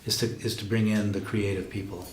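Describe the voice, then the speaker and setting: A man giving an explanatory, documentary-interview style account of a production, pausing mid-sentence to find his words. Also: fairly low pitch, flat delivery. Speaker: radio drama project lead explaining the production. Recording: roomy echo with loud music behind. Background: music with guitar.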